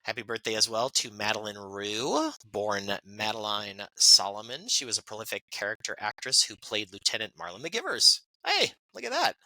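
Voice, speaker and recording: Podcast co-host, male, bright and chatty, reads from notes with asides, dry close sound. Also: lowish voice, sing-song, moderate pace, few pauses, dry recording, poor audio. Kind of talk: casual birthday segment reading.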